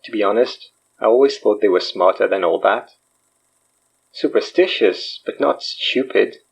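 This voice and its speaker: A man's voice: lowish voice, moderate pace, vocal fry, slightly rough, dull audio. An actor playing a wry, self-deprecating man in a naturalistic audio drama, joking and rambling as he talks. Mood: bitter, reflective. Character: wry, self-deprecating man, recently freed from possession, fake guru healer.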